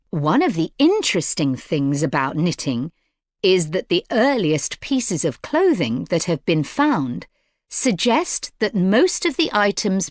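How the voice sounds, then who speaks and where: A woman gives a scripted lecture at an unhurried, deliberate pace. Her intonation rises and falls widely as she explains each point clearly. The sound is dry and close. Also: medium pitch, no room sound. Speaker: lecturer in an exam listening recording.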